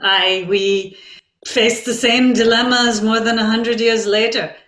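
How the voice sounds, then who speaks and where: A woman playing a reflective modern Bengali narrator, telling a poet's life in measured, expressive scripted narration. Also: a little high. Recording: echoey, roomy sound.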